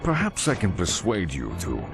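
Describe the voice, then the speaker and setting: An actor playing a suave, menacing crime boss in a video game, politely threatening. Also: male voice, deep voice, wildly swooping pitch, over music. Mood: smooth menace. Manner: slow-burning, politely threatening. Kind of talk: video game cutscene acting.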